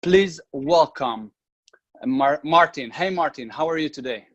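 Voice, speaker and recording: A man's voice: a little high, animated, unhurried, dull audio. A man hosting an interview show, introducing his guest with a few hesitations.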